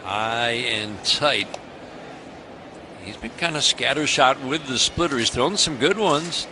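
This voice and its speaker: A man's voice: medium pitch, takes it slowly. American baseball play-by-play man, noisy ballpark behind. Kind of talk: live radio sports commentary. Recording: stadium ambience noise behind the voice. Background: ballpark ambience.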